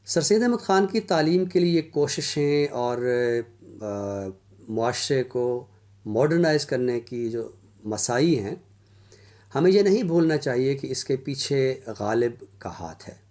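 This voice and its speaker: A male lecturer speaks at an unhurried, slow pace. His voice is mid-pitched with a dark, mellow tone. He sounds formal and scholarly, setting out each phrase deliberately with pauses. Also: expressive.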